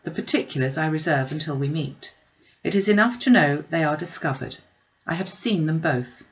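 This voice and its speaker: A woman's voice: low voice, expressive, normal speed. A woman narrating an audiobook, reading a classic novel aloud. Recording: slightly dull, muffled recording.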